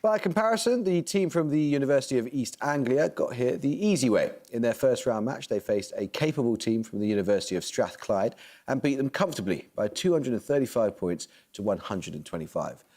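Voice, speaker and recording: A man hosting a televised student quiz, reading questions and scores in a crisp, measured way. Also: mid-range voice, expressive, a little mellow. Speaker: quiz show host.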